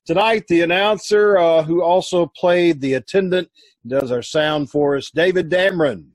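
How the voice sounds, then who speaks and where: Higher-pitched male old-time radio announcer. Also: moderate pace, dry recording. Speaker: announcer and host.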